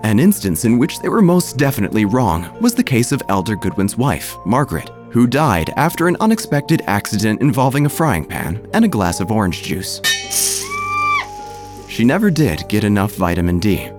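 A man's voice: fairly low pitch, very animated, normal speed, a little mellow. A man playing a droll, gossipy small-town church narrator, telling a scripted comic story with wry, theatrical phrasing. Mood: droll, confiding. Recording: music under the voice.

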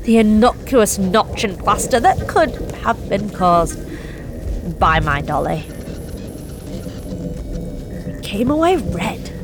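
A woman's voice: mid-range voice, sing-song, takes it slowly, pauses often, music in the background. An actress playing a nervous young delivery driver, telling her story in a tense, confessional first-person monologue with blunt swearing. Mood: uneasy. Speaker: narrating actor.

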